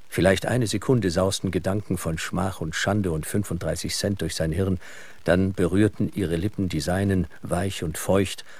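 A man narrating a dark thriller as the scripted storyteller of an audio drama. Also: deep voice. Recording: clear background noise.

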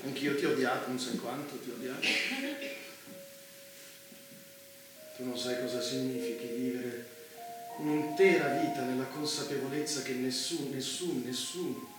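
An actor playing an embittered, unhinged man with political power, in intense stage style, halting and repeating himself with explosive force. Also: medium pitch, fairly even intonation, over music, lightly acted. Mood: bitter, aching. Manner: halting, repeating words, erupting into shouts. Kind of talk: intense theatrical stage acting. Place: theatre stage, picked up from afar. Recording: very echoey, distant, noisy theatre recording.

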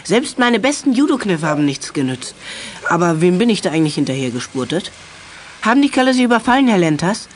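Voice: medium pitch, sing-song, a little fast, slight background noise, close to an everyday voice. A young-sounding performer playing a wry, sarcastic, sporty boy in a German children's audio drama. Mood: sarcastic, annoyed.

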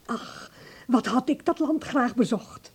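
An actress playing a chatty, curious and wistful older aunt in a Dutch radio play. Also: female voice, high-pitched, lively intonation, slow, slightly rough, heavily acted.

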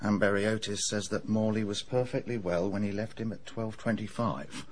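A dogged police inspector played by a man in a radio play. He is blunt and brisk in a fairly low voice, with faint ambience behind him. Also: fairly even intonation, a little hiss or hum, close to an everyday voice. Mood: determined. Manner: blunt, businesslike. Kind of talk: radio drama acting.

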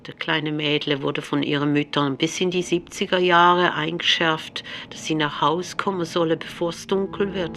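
An actress playing a local woman, telling grim tales plainly and folksily in a radio play. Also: low-pitched. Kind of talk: dramatic storytelling in regional idiom. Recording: music under the voice.

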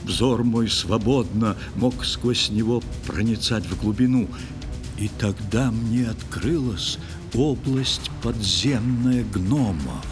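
A man narrating a verse tale in an audio play, with theatrical, expressive recitation. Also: lowish voice, slow pace. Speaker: actor-narrator. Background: music.

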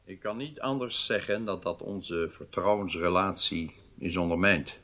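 A man acting in a radio drama as a stern, authoritative government leader who speaks formally and with measured weight. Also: low voice, sharp tone. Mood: stern reproach. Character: stern, weighty Israeli government leader rebuking his intelligence chief.

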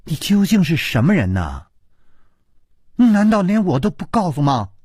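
A man's voice: a little high, sing-song, measured pace, a little mellow, slightly rough, no room sound, clean studio sound, heavily acted. Man voicing a coquettish, pestering young princess. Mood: insistent. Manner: coquettish, insistent. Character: playful, clinging, inquisitive young princess.

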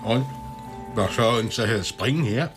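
Male police partner for radio drama , man performer, dry and sceptical, lowish pitch, prominent music behind. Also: unhurried, close to an everyday voice. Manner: dry, laconic, needling.

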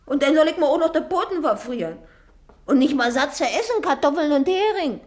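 A woman's voice: high-pitched, animated, brisk, a little mellow. An actress playing a stubborn teenage servant girl in a radio drama, whining defiantly in Berlin-area dialect. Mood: complaining, defiant.

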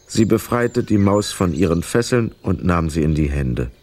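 A man narrating a children's radio play as a scripted story, with expressive, dramatic delivery. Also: deep voice, normal speed.

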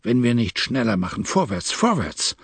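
Bold English lord adventurer, read by a male narrator: brisk, exclamatory, English interjections, audiobook. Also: mid-range voice, very animated, vocal fry, slightly rough, no room sound, heavily acted. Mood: alarmed, urgent. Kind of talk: dramatized audiobook character.

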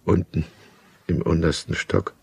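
An actor playing a broken, bitter asylum inmate, confessing his downfall with resigned deliberation in a radio drama, in a gravelly, husky voice. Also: sits low, unhurried, darkish tone, full character voice. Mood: bitter resignation. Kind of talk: first-person radio drama narration.

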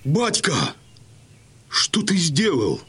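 An actor playing a brave, earnest young Cossack son speaking to his father in an audio drama, with a husky voice. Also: a little high, wildly swooping pitch, drawn-out words, sharp tone, full character voice. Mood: shocked, grieving.

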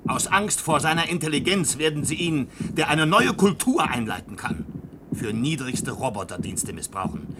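A man's voice: fairly high pitch, expressive, normal speed, natural voice. A man acting a proud, defiant inventor in a German science-fiction radio play, lecturing in a pedantic, impassioned way. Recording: very noisy old radio play recording.

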